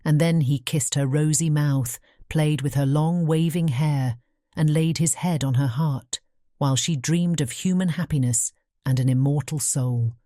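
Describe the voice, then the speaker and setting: Low, mellow British woman narrating. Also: a little slow, dry recording, studio quality. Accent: British English. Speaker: audiobook narrator. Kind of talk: scripted fairy tale narration.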